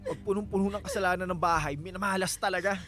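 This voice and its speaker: A bitter, jealous Filipino husband with a bright, forceful voice. He is curt and accusing in radio drama style, over faint music. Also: high-pitched, fairly even intonation, fast talker. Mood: furious, accusing.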